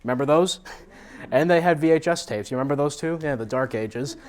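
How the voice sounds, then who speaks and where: A man's voice: fairly high pitch, fairly quick, a little mellow, smooth. An American man from New Jersey giving an informal talk as a guest speaker, telling stories with self-deprecating animation. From New Jersey, United States. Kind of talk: informal autobiographical talk to a meetup audience.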